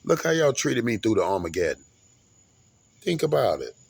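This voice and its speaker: A man's voice: medium pitch, sing-song, normal speed, creaky, darkish tone, slightly rough, no room sound. A man in his forties talking in a rambling, grandiose monologue, often repeating and restarting his words. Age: 40s. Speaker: vlogger.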